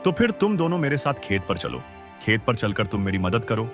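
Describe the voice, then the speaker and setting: An old village father played by a man in a cartoon moral tale, with lively, swinging intonation. He sounds worried and disappointed with life, and clear music plays behind him. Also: on the low side, fairly quick. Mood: patient. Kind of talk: Hindi cartoon moral story dialogue.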